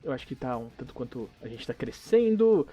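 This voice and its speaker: A man's voice: mid-range voice, very animated, fairly quick, dark tone, husky, faint music behind. A man with a Brazilian accent, casually narrating and hosting a tabletop role-playing podcast as game master.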